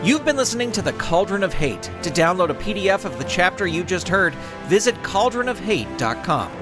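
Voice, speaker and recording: Animated male storybook narrator. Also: high voice, a little fast, over music. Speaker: narrator and author.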